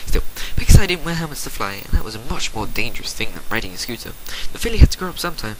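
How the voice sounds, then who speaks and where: A man reading fan fiction as a dramatic narration, theatrical and swinging between hushed and forceful, on an amateur home recording. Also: medium pitch, big pitch swings, rapid-fire, a little hiss or hum, poor audio. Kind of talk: dramatic storybook-style narration.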